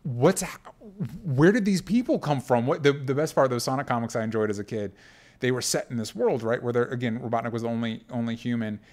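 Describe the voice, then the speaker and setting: A man with a medium-pitched voice co-hosts a casual talk show. He chats with the eager enthusiasm of a devoted geek, in an informal, conversational way. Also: fast talker, darkish tone. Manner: enthusiastic, geeky fan talk. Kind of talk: casual pop culture chat.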